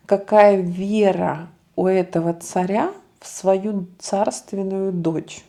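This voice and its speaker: A woman talks through her ideas slowly and reflectively, as if thinking aloud. Her voice is mellow and gravelly. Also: mid-range voice. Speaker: co-host discussing a fairy tale. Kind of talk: casual analytical discussion.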